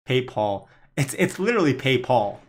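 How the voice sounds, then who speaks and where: A young man from Canada hosting a casual live stream on the stock market, chatting and laughing as he reads viewer comments aloud. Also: medium pitch. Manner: chatty, laughs while reading chat aloud. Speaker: livestream host and day trader.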